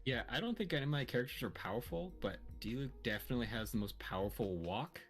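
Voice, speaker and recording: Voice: medium pitch, animated, fast talker. A speaker on a casual stream, teasing and making dry jokes. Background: faint lofi music.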